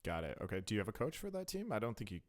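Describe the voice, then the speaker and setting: A man's voice: fairly low pitch, wildly swooping pitch, very fast. A man hosting a casual, chatty interview, talking in a familiar, teasing way. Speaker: podcast interviewer.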